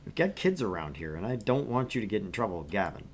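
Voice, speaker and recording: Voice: low-pitched, very animated, quick pace, a little hiss or hum. A game streamer reading a visual novel aloud with casual, wry commentary. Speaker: gaming streamer.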